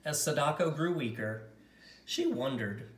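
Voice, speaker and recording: A man reading a picture book story aloud in a gentle, measured way with dramatic pauses. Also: mid-range voice, expressive, reverberant. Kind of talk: scripted story narration, read aloud.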